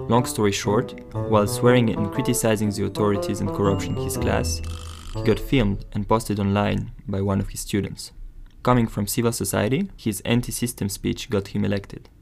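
Guy co-hosting a podcast, creaky voice, reading a script, some music behind him. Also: on the low side. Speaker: podcast co-host.

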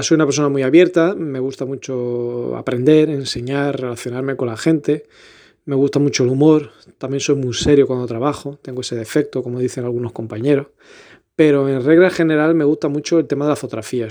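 A man from Portugal hosts his own podcast, speaking Spanish. His voice sits at a middle pitch and has a mellow, warm tone. He talks in an earnest, rambling way, often breaking off and restarting his sentences. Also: steady tone, fairly quick. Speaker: podcast host, photographer. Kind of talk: casual solo podcast monologue.

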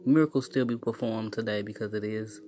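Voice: medium pitch, fairly even intonation, a little fast, dark tone. A guest from Illinois in casual conversation, speaking in an encouraging, affirming way. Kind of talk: casual chat.

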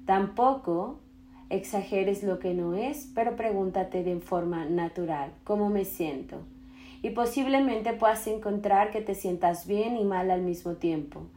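A woman leading a guided meditation in a soothing, instructional manner with unhurried phrasing. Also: medium pitch, slight background noise. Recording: roomy echo with loud singing bowls. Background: singing bowls, meditation music.

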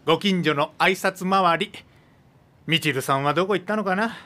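A chatty, deferential young crewman in a radio drama. His voice is high for a man and very bright, full of eager friendliness. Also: moderate pace. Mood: excited, friendly.

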